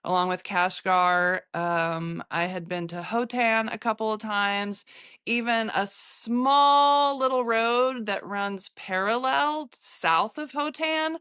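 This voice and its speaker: An American woman, a photographer, recounting her travels in an interview, halting often with fillers and restarts. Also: fairly high pitch, fairly bright tone, smooth, no echo, clean studio sound. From United States.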